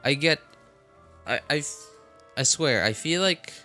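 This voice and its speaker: A man giving casual, halting gameplay commentary as a streamer, repeating himself as he thinks aloud. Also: fairly high pitch, expressive, sharp tone. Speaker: game streamer. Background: game music.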